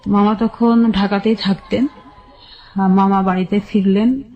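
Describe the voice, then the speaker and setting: A woman with a Bangladeshi accent telling her personal life story as a radio guest, recalling it haltingly in short phrases. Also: mid-range voice, fairly even intonation, dull audio.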